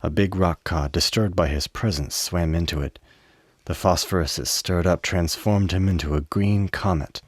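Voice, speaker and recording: A man with a low voice narrates a radio drama. His reading is measured and literary, recorded close and dry. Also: dry recording. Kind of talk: scripted radio play narration.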